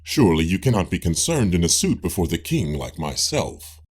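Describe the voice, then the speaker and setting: A man reading a classical philosophical dialogue as an audiobook in a measured, formal way. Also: sits low, big pitch swings, a little mellow, slightly rough. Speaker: audiobook reader.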